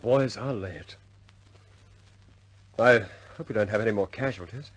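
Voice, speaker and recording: An actor playing a loyal, deferential air force officer in a radio war drama, speaking with clipped understatement. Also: male voice, on the low side, moderate pace, husky, no echo, faint music behind. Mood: wry. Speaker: squadron pilot reporting to his superior.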